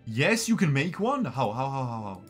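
A man's voice: mid-range voice, sing-song, moderate pace. A young man from Bosnia, raised in Switzerland, commentates his own game live, chatty and loose, often repeating himself. He speaks into a desk mic in a small room. Faint game music plays under his voice. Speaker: streamer.

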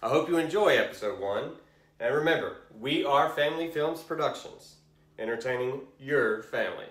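A man's voice: mid-range voice, lively intonation, moderate pace, a bit bright, reverberant, low-quality recording. A man in his thirties giving a scripted introduction to camera as a presenter in a bare room. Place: small indoor room, bare wall.

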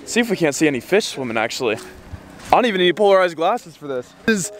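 Young man, high bright voice, quick upbeat vlog host talking to a camera at arm's length. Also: big pitch swings, slight background noise. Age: young adult. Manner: upbeat, talks fast to the camera. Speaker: fishing vlogger host. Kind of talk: energetic vlog narration. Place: outdoors and in a truck, handheld camera.

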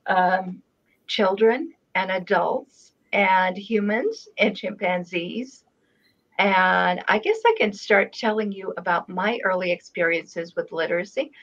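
A woman who grew up in Israel with Hebrew as her first language, hosting a relaxed video call chat and speaking slowly with many pauses. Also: mid-range voice. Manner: unhurried, with many pauses and fillers. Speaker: channel host. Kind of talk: casual video call conversation. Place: home room with framed painting. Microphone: video call webcam. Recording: dull lo-fi video call audio.

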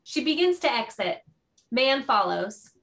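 Higher-voiced woman reading stage directions. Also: very animated, a little slow, vocal fry, fairly bright tone. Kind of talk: scripted narration of a play.

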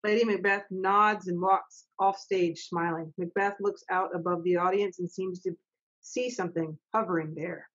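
A woman's voice: lowish voice, fairly even intonation, normal speed, fairly bright tone. Middle-aged woman narrator, dull call audio. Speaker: stage manager reading stage directions. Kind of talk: plain reading of script directions. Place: home office, indoors. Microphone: computer mic on a video call.

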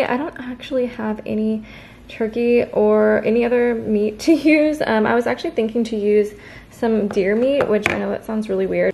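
A woman's voice: mid-range voice, gravelly, a little hiss or hum. A woman in her twenties chatting casually through a home vlog, bubbly and laughing often. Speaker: lifestyle vlogger. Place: home kitchen and living room. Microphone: handheld vlog camera.

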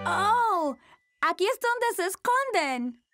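A very high, childlike voice of an eager, curious little boy for a children's cartoon. He speaks at an unhurried pace, with music clearly playing behind him. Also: big pitch swings, full character voice. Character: eager, curious four-year-old boy.

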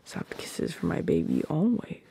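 A woman voicing a tender, playful, possessive girlfriend in an ASMR roleplay, speaking softly and intimately close to the microphone in a breathy, often whispered voice. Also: lowish voice, huge pitch swings, exaggerated voice. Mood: soothing, tender. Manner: soft, intimate, unhurried, teasing. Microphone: close microphone, dry.

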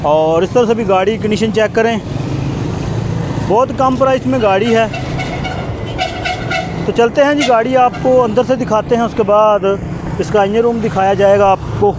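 A man with a high voice gives brisk, repetitive salesman patter while he walks around used cars. He is recorded outdoors on a camera mic at a busy roadside bazaar, with clear street noise behind him. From Pakistan. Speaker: used-car video host. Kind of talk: walkaround car sales commentary.

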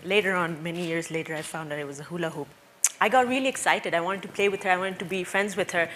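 A woman's voice: fairly low pitch, fast talker, a bit bright, a little hiss or hum. A woman from Bangladesh, in her thirties, giving a personal talk on stage before an audience, earnest and reflective with frequent hesitations. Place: stage before a seated audience in a dark hall. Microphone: headset mic.

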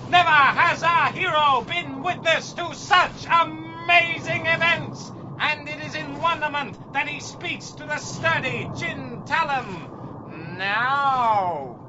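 A man's voice: extremely high pitch, slow, a crowd in the background, background noise. A man playing a grandiose announcer narrating a melodramatic pulp space adventure radio serial. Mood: grand wonder. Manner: grandiose, theatrical. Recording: dull lo-fi audio under loud music.

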